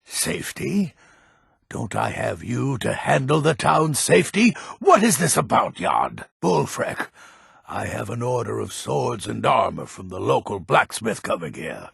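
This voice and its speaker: An actor playing a grumpy, proud old Nordic lord in a fantasy video game, with a gravelly, raspy voice and a blustering manner. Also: male voice, medium pitch, big pitch swings, fairly bright tone, no echo, clean studio sound, full character voice. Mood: stern. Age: older. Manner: grumpy, blustering, slow and weighty. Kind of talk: video game character voice acting.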